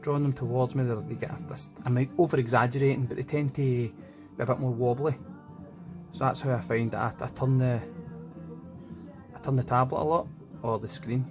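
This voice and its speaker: A man's voice: mid-range voice, steady tone, brisk, pauses often. A man with a Scottish accent giving casual commentary as he draws, thinking aloud in an informal way. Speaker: illustrator narrating own drawing process. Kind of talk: casual art vlog commentary. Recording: music playing in background. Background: background music.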